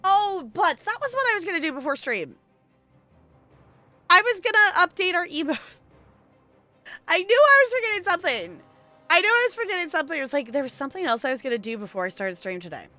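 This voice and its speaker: A woman's voice: very high voice, very animated, fairly quick, pauses often, pushed and bright, dry recording, soft background music. A woman live-streaming, chatting casually with her viewers in a rambling, giggly way.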